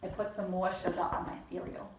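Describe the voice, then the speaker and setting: A woman's voice: lowish voice, flat delivery, brisk, heavy background noise. A woman reading a children's bedtime story aloud as host, chatting between lines and acting out the characters. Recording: echoey room, mic at a distance.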